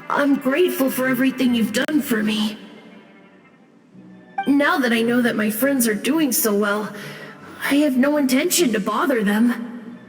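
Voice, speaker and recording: A woman playing a gentle, grateful, wistful ghost of a boy in a video game, speaking softly. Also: a little high, measured pace, pauses often, soft background music, lightly acted. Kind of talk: English video game voice acting. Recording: long reverberant ghostly echo.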